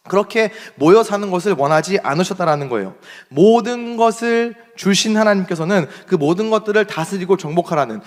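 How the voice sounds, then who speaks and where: Animated male pastor in a reverberant hall. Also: high voice. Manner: emphatic preaching, rising and dropping to soft asides. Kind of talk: sermon. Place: church sanctuary.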